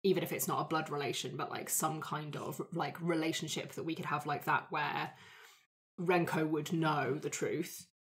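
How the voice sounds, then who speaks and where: A woman with a fairly low voice talks in a casual group discussion. She sounds like a tabletop player chatting through ideas with the others. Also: fairly even intonation, moderate pace, a bit bright.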